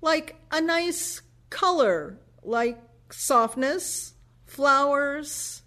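High-voiced, slow-speaking devout elderly mother played by an actress. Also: expressive, pushed and bright, full character voice. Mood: defensive. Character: devout, defensive elderly widowed mother, forgetful and anxious. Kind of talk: audio play acting.

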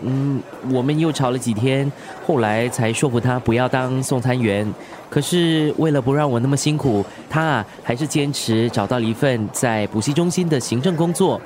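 A man acting a good-natured, hardworking father-to-be in a radio drama. He chats in an easygoing way at a middling pitch, with clear traffic noise behind him. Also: normal speed, hardly any pauses, natural voice. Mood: cheerful, content. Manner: easygoing, chatty.

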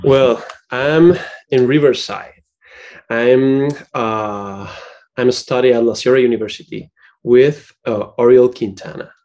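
A man's voice: medium pitch, unhurried, a little mellow. A young man from Cuba with a Cuban Spanish accent, a music student and composer answering interview questions, searching for his words in English.

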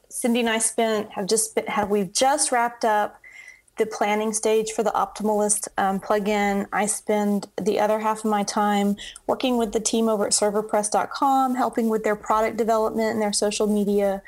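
Middle-aged woman on a podcast, chatty, does little voices for her own thoughts, normal pitch. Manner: chatty, animated storytelling with acted quotes. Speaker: guest, web entrepreneur. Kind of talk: casual interview chat.

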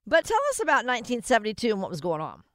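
A woman's voice: a little high, huge pitch swings, fairly bright tone, dry recording, clean studio sound. A woman in her sixties co-hosting a radio show, speaking in a cheerful, upbeat way as she introduces the advisor and asks questions. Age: 60s. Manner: cheerful, reads out phone numbers slowly. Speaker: radio co-host.

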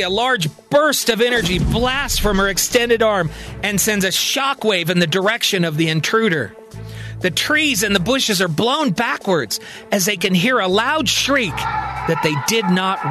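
A man narrating a scripted, dramatic science-fiction story as a podcast storyteller. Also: sits high.

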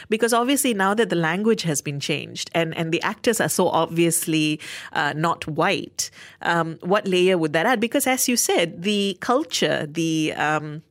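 A woman's voice: lowish voice, dry recording. A woman talking through her thoughts on a stage play in a casual review chat, thoughtful and articulate. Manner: thoughtful, articulate, searching for words. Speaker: theatre reviewer.

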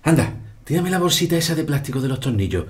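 High-pitched, wry dad. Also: male voice, sing-song, fast. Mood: busy, dismissive. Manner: teasing, colloquial. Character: Jorge, wry, teasing family father. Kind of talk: naturalistic audio drama dialogue.

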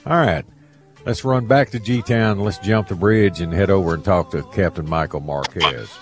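A very deep-voiced man, hosting a radio fishing show. He talks in a relaxed, folksy way and laughs easily. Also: moderate pace, over music. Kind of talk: casual radio chat and read ads.

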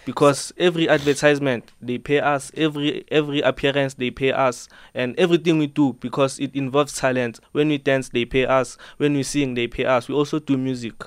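An eighteen-year-old man answers earnestly and politely, addressing the host as ma'am. His voice is smooth and resonant. The studio sound is dry and close. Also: mid-range voice, fairly even intonation, brisk, hardly any pauses, no echo. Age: teens. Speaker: dancer and fashion subculture member. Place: radio studio.

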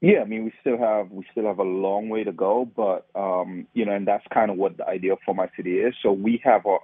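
A man's voice: fairly low pitch, fairly even intonation, brisk, breathy edge, dry recording. A man from Trinidad with a Trinidadian accent, explaining his nonprofit work as an interview guest. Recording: tinny phone-like line, dry.

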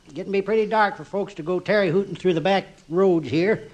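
An actor playing a nosy, chatty country cabin keeper in 1950s radio comedy, folksy and stubbornly roundabout. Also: male voice, high-pitched, fast talker, dull audio, lightly acted. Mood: pestering. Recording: noisy old 1950s radio recording.

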